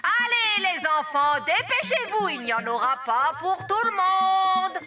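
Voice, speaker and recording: High-voiced bossy cartoon auntie. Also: female voice, expressive, measured pace. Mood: gleefully vengeful. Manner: theatrical, exclaiming, scolding. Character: bossy crafty village auntie, stern but generous cook. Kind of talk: French children's cartoon acting. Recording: loud music behind the voice.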